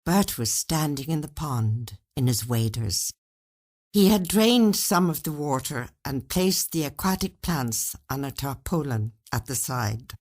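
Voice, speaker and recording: A woman reading a short story aloud as narrator, in an expressive storytelling manner. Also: rumbling low, unhurried.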